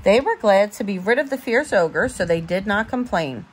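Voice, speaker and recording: Expressive, slightly husky woman reading a storybook. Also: medium pitch, moderate pace. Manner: expressive, informal, stumbles over words. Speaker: parent reading a bedtime story. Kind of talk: storybook read-aloud.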